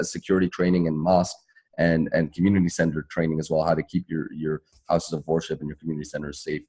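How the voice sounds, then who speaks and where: Male activist panelist, low and husky, rapid, emphatic, unscripted advocacy delivery. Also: darkish tone. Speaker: panelist, civil rights attorney and activist. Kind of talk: spontaneous panel talk, advocacy.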